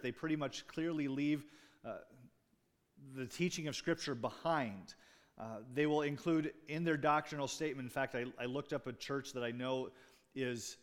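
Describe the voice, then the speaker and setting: A man preaching a sermon as pastor, talking conversationally with asides and jokes to his congregation. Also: mid-range voice. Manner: restarts and fillers, speaking off the cuff.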